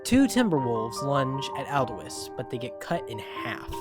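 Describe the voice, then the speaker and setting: A man's voice: medium pitch, very animated, a little slow. A man reading scripted story narration as the narrator. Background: background music.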